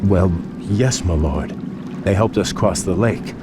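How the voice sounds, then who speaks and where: An actor playing a proud, noble elven prince, formal and restrained with simmering resentment, in a fantasy video game. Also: male voice, low voice, takes it slowly, very noisy. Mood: composed. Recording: music behind. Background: game music.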